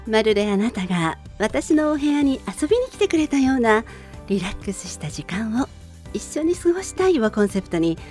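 Lively woman hosting bedtime radio. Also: a little high. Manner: polite, listener-addressing, conversational. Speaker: radio show host and band vocalist. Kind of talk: gentle bedtime radio chat.

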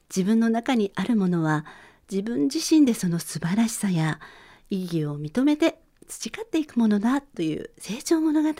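Lady doing a gentle bedtime radio show, warm relaxed tone, pitch moves around a lot, bit of music under her. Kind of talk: scripted solo radio narration and talk.

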